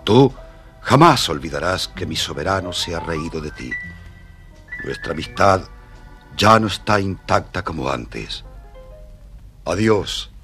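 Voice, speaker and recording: Tritón teatral, grave y muy expresivo. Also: male voice, low voice, unhurried, long pauses, faint music behind, heavily acted. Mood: solemn. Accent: Argentine. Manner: theatrical, expressive. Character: friendly merman, excitable and forgetful, later solemn. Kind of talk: dramatised children's audio storybook acting.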